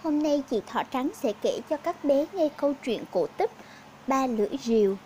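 A woman's voice: high-pitched, animated, moderate pace, mellow, a little hiss or hum. A woman narrating scripted fairy tales for young children as a storyteller.

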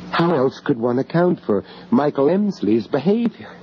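Guy acting a haunted british art dealer in an old horror radio play, grave n halting, muffled recording w some hiss. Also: mid-range voice, sing-song, lightly acted. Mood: alarmed resolve. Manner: grave, hesitant, dramatic delivery with halting repeats. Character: haunted, anxious gentleman art dealer narrating a horror tale. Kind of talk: 1970s radio horror drama narration.

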